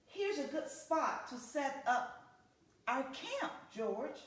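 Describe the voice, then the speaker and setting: A woman's voice: sits high, big pitch swings, takes it slowly, a bit bright, heavily acted. A woman voicing a kindly, fatherly man in a children's storybook, giving friendly instructions. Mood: cheerful instructing. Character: friendly man in a yellow hat, fatherly camper. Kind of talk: children's storybook character reading. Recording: echoey room, distant from the mic.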